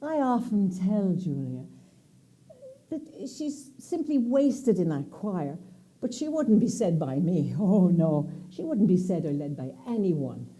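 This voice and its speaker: A woman's voice: lowish voice, sing-song, takes it slowly, mellow. A woman playing an outspoken elderly aunt who grumbles with indignation. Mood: indignant.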